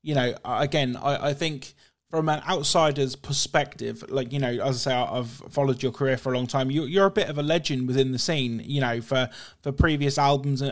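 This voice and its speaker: A man interviewing a musician in casual chat, enthusiastic, leaning on "you know" and "absolutely". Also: mid-range voice.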